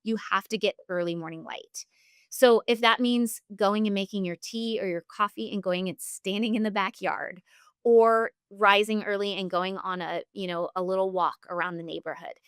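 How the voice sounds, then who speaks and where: A woman's voice: mid-range voice, fairly even intonation, moderate pace, smooth, no echo, studio quality. A woman speaking as a health practitioner and interview guest, giving long, earnest, instructive explanations.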